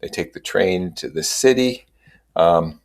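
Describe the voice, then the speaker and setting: A man's voice: low voice, expressive, unhurried. A man reading a novel aloud as narrator, adding his own comments. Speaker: reader and commentator.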